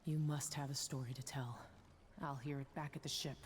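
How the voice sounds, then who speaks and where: A man's voice: mid-range voice, fairly even intonation, fairly quick, big-room sound, a little hiss or hum, close to an everyday voice. An actor voicing an earnest, grateful male ally in a video game. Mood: relieved.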